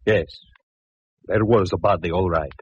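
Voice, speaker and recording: Very husky, nervous restaurateur. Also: male voice, sits low, a little slow, no room sound. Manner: hesitant, polite, flustered. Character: nervous, deferential male Italian restaurant owner. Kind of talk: 1940s-50s radio detective drama acting. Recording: muffled vintage radio recording.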